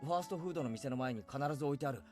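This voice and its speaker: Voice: medium pitch, racing, a little mellow, natural voice. An actor playing a brash, cheeky teenage schoolboy in a Japanese anime visual novel, speaking casually and bluntly. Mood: brash, lively. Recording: music behind the voice.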